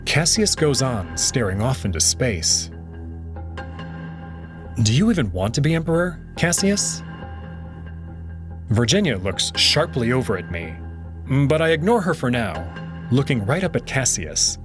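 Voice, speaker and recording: A man narrating a visual novel aloud in an expressive way. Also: medium pitch, unhurried, pauses often. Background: background music.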